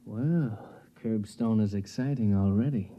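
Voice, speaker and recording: A man playing a slick, bored, decadent young space passenger, smooth and insolent, in 1950s radio drama style. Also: fairly low pitch, measured pace, warm tone. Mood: oily, curt. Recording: muffled vintage radio broadcast.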